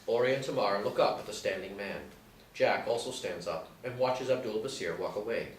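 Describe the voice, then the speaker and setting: A man's voice: fairly low pitch, a little fast. A man narrating, reading out the action lines of a screenplay in a scripted style. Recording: echoey room, distant mic, faint noise.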